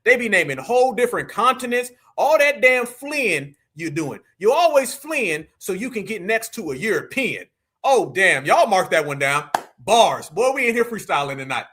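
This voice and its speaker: Male live-stream host, American, high bright voice, lively swings, combative and mocking, slang-heavy. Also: normal speed. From United States. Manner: combative, mocking, slangy, talks straight to viewers. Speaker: livestream commentator. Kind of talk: heated live reaction commentary.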